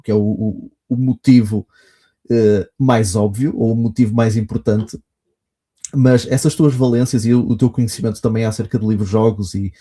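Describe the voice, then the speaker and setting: A man's voice: fairly low pitch, steady tone, moderate pace, dark tone, husky. A man with a European Portuguese accent hosting a podcast, speaking eagerly and effusively, often hesitating mid-phrase. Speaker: podcast host. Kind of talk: podcast hosting, casual chat.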